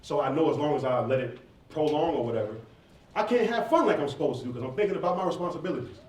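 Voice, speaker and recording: A man's voice: fairly high pitch, expressive, quick pace, a little mellow. A man in his thirties, a rapper speaking informally and emphatically to students, repeating phrases as he answers questions. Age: 30s. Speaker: rapper and stage performer answering audience questions. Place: roomy indoor campus event space. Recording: echoey room, distant mic, faint noise.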